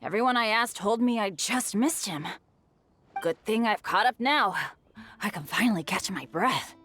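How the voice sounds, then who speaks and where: A woman's voice: medium pitch, animated, moderate pace, a bit bright, natural voice. A woman playing a cheerful, accident-prone teenage boy adventurer in a video game, with a youthful boyish voice and an eager, sheepish manner. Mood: sheepishly cheerful. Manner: eager, sheepish, good-natured. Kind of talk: video game cutscene acting.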